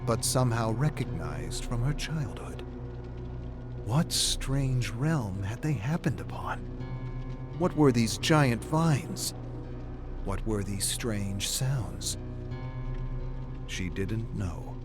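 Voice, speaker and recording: Slow, grave dark-fantasy storyteller. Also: male voice, mid-range voice, expressive. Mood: grave, suspenseful. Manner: deliberate, suspenseful, grave. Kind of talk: scripted dramatic narration. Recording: music behind the voice. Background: music.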